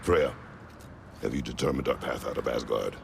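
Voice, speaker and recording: An actor playing a grim old war god and stern warrior father, terse and blunt in a deep gravelly voice. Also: normal speed. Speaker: video game character. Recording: game audio with steady background noise.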